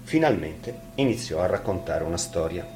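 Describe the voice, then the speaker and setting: A man's voice: low voice, animated, moderate pace, loud music behind the voice. A man reading a fairy tale expressively, as a storyteller.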